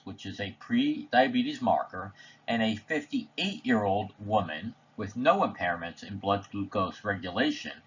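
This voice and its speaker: A man reading a scripted slide presentation voice-over in a measured, formal, technical manner. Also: medium pitch, a bit bright, husky, highs cut off. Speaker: presenter narrating study results.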